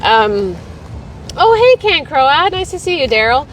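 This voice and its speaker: A woman's voice: sits high, very animated, measured pace, distant mic, slight background noise. A woman from Texas hosting a weekly livestream, chatting casually and rambling between viewer comments. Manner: chatty and rambling, laughs easily.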